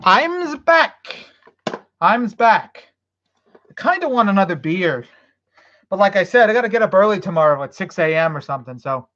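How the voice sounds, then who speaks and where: A woman's voice: medium pitch, very animated, normal speed, a bit bright. Woman streamer, casual rambling chat, profane and loose, dull lo-fi audio. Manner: rambling, swearing, loose after beers. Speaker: live streamer.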